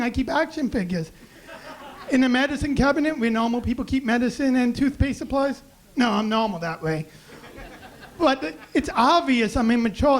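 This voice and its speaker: High raspy-voiced young man doing stand-up. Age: young adult. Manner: self-deprecating deadpan delivery. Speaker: stand-up comedian. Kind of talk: stand-up comedy set. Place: comedy club stage. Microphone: handheld stage mic.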